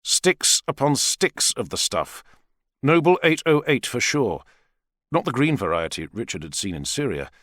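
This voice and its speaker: A man narrating a thriller novel as an expressive, theatrical audiobook reader. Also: mid-range voice, unhurried, dry recording, clean studio sound.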